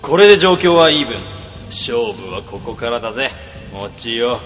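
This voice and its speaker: A man's voice: mid-range voice, big pitch swings, moderate pace, fairly bright tone, in a large hall, faint music behind. A man voicing a cunning male office worker in a comedy radio drama, narrating his schemes in intense inner monologue. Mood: scheming.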